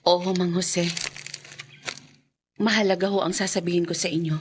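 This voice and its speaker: An actress playing a resolute, protective elder sister in a radio drama, earnest and guarded, with a husky voice. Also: female voice, fairly low pitch, fairly even intonation, moderate pace, a little hiss or hum, natural voice. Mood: hesitant, secretive.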